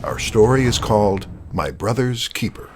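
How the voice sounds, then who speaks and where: A man hosting a drama anthology with a measured, scripted introduction. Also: fairly low pitch, expressive, a little hiss or hum. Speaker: host.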